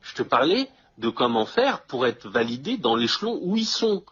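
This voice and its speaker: Very husky man on dull lo-fi audio. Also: medium pitch, sing-song, moderate pace, a bit bright. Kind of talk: casual two-person discussion.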